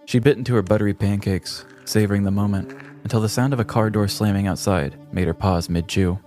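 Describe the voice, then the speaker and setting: A man narrating a scripted true-crime comedy podcast in a theatrical, deliberate storytelling manner. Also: fairly low pitch, steady tone, a little mellow, soft background music.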